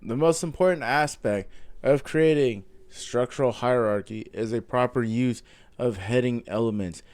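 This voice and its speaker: A man's voice: medium pitch, moderate pace, a little mellow. A man with a stuffy nose from a cold, casually reading through a coding course aloud with frequent ums and self-corrections. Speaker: self-taught coding vlogger. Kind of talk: casual follow-along reading and thinking aloud.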